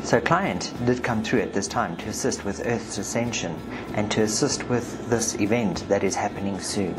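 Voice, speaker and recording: A woman's voice: extremely low pitch, moderate pace, noisy background. A woman with a South African accent, hosting a spiritual video and reading session transcripts aloud with emphasis. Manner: emphatic, forceful when reading the client. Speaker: video host, spiritual practitioner. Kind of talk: narration read from session transcripts.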